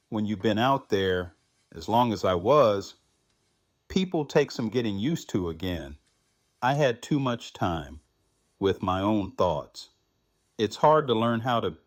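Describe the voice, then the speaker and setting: A man playing a grieving, reflective widowed survivor in an audio drama, speaking in a halting, subdued manner. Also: on the low side, animated, no echo, clean studio sound. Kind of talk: fan audio drama acting.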